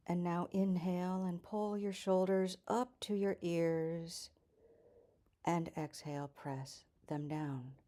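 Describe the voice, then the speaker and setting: A woman teaching a yoga class, giving calm, measured instructions with soft, breathy phrase endings. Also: sits low.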